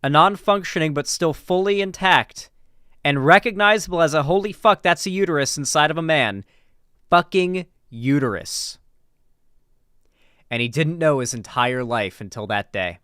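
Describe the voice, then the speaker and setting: A man with a clear, smooth voice in a dry, close recording. He talks off the cuff like a streamer reacting to something, informal and emphatic, restarting phrases and dropping swear words. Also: fairly high pitch, moderate pace, sharp tone, no echo. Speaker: political commentary streamer. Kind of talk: casual reaction commentary, unscripted.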